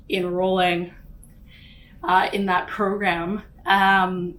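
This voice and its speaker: A woman's voice: mid-range voice, fairly bright tone. A young woman chatting casually while she cooks, laughing often and using lots of fillers. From Canada. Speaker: author and vlogger. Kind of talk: casual chat while cooking.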